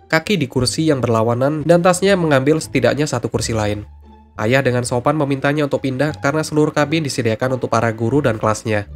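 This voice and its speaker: Fast-talking male story narrator. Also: medium pitch. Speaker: narrator of Reddit stories.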